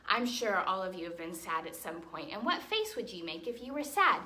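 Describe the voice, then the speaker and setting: A woman teaching a ballet lesson to young children in an encouraging, animated way, explaining things simply and checking in with questions. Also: medium pitch, fairly quick. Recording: roomy echo, distant mic, faint noise.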